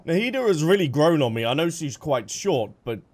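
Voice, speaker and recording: Animated, chatty male game streamer. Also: a little high, a little fast. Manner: chatty, reactive, exclamatory. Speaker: game streamer reacting to story dialogue. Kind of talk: casual playthrough commentary.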